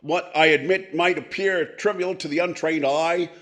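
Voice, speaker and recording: A man's voice: a little high, fairly even intonation, moderate pace, a bit bright, natural voice. A man playing a pompous, boozy old movie comedian, grandiloquent with muttered asides, in 1940s radio comedy style. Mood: pompous, oily.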